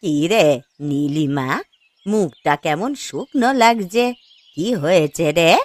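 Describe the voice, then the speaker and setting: A woman voicing a kindly old village granny in a cartoon story, with slow, drawn-out, motherly speech. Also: on the low side, lively intonation, no room sound. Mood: concerned. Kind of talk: Bengali cartoon story acting.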